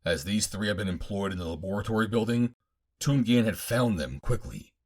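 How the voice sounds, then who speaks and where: A man's voice: fairly low pitch, brisk. A man narrating a pulp adventure audiobook in the first person, in dramatic fashion.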